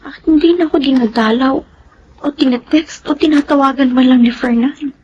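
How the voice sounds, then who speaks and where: A woman's voice: high-pitched, a little fast, darkish tone, dull audio, a little hiss or hum, close to an everyday voice. A woman acting an anxious, pleading young mother in a Tagalog radio drama. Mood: worried. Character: anxious young single mother, pregnant, eager to marry.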